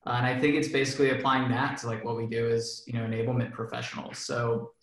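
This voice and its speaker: A man's voice: medium pitch, little pitch movement, quick pace, fairly bright tone, reverberant. A man on a career panel, talking casually with lots of fillers and a self-deprecating tone. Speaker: panelist, enablement professional.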